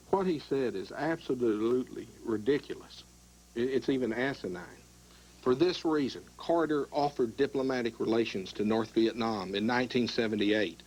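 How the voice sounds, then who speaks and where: Combative husky man calling in. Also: mid-range voice, darkish tone, poor audio. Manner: combative, insistent, repeats demands. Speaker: caller. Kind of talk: call-in television discussion.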